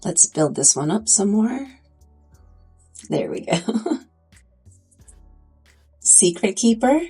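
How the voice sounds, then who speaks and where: A woman's voice: mid-range voice, sing-song, slow, warm tone, slightly rough, soft background music. A congested woman chatting casually and enthusiastically in a makeup review video. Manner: chatty, enthusiastic about swatches. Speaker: beauty vlogger.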